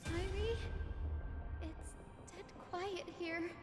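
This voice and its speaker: A woman voicing a gentle, selfless young woman in a video game, soft-spoken and wistful. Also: very high voice, steady tone, drawn-out words, full character voice. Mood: wistful, serene. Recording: echoey long reverb, music over the voice.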